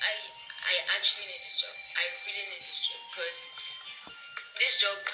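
A woman's voice: mid-range voice, little pitch movement, moderate pace, lightly acted. Woman acting young Nigerian-accented job seeker, desperate, pleading, echoey room, strong music bed. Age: young adult. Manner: pleading, filler-heavy with "actually" and "you know". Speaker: job applicant. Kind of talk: amateur radio drama acting.

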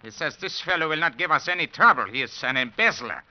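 A man's voice: a little high, expressive, fast, lightly acted. An actor playing a shady henchman who talks tough and matter-of-fact in an old radio drama. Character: shady gang henchman briefing his partner. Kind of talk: 1940s-50s radio drama acting. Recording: muffled old radio recording.

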